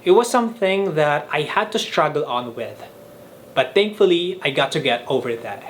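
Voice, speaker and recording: A young man from the Philippines, Filipino his first language, telling in a measured, earnest way how he learned languages on his own. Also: a little high, expressive, slight background noise. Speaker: language vlogger. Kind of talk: scripted vlog narration.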